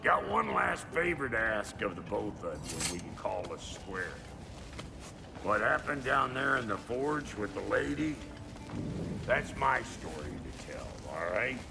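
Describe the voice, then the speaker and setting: Gruff game dwarf blacksmith: irascible, cursing, creaky-voiced, hugely animated pitch. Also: male voice, unhurried, noisy background, heavily acted. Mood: gruff, subdued. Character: gruff, foul-mouthed, hot-tempered male dwarf blacksmith. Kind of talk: video game cutscene acting.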